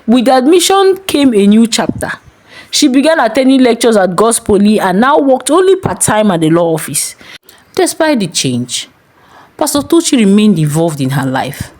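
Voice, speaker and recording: Animated Nigerian woman storyteller. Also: a little high. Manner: deliberate dramatic pauses. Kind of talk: dramatic scripted story narration.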